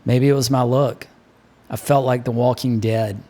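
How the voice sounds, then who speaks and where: Male audiobook narrator, mid-pitched. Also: steady tone, normal speed, dark tone. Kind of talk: scripted first-person novel narration.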